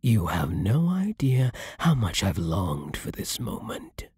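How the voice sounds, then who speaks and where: A man voicing a centuries-old, possessive vampire lord in an ASMR roleplay, silky and commanding with a breathy voice that often sinks into whispers. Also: lowish voice, wildly swooping pitch, a little mellow, no room sound, clean studio sound, exaggerated voice. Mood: gloating. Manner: slow, theatrical, silky, commanding, condescending. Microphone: close studio microphone, dry.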